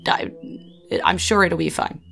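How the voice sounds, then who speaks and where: A woman acts out a male town leader in a tabletop game. The voice is creaky and fairly low for her, with evasive, halting patter meant to reassure. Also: steady tone, a bit bright, soft background music, heavily acted. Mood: nervously upbeat.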